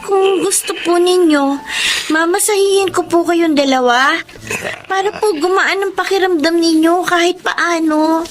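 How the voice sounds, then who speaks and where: A woman's voice: very high-pitched, unhurried, music in the background. A woman playing a respectful, plaintive young blind granddaughter in a Filipino radio drama, with a Filipino accent. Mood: gentle, respectful. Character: blind, hurt but devoted young granddaughter, a student.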